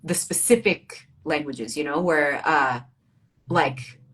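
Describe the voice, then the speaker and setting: A woman's voice: low-pitched, slow, fairly bright tone. A bilingual Arabic and English speaking woman talking as a guest poet, reflective and informal, with wry humor in a casual literary chat.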